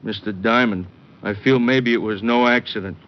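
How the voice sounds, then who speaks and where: An American actor playing a huge, earnest, simple-hearted wrestler in an old-time radio drama. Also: male voice, lowish voice, fairly even intonation, natural voice. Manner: plain, slow-witted sincerity. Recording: muffled, noisy vintage radio recording.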